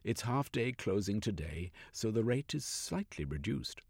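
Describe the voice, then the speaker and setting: A man voicing a polite, unflappable male street officer with a deadpan manner. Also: fairly low pitch, unhurried, husky, dry recording, studio quality, exaggerated voice. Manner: polite deadpan, repeated "sir".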